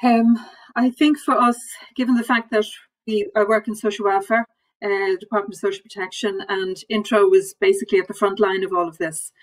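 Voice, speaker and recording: A woman speaks at a middle pitch in a measured, even manner. She often repeats a word as she gathers her thoughts, as a civil servant talking on a panel. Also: rough recording. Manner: measured, repeats words while thinking. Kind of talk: panel discussion.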